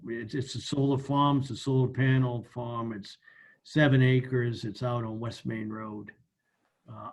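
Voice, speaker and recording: Male committee member, American, medium pitch. Explains plainly and haltingly, informal meeting register. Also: darkish tone. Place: remote video meeting.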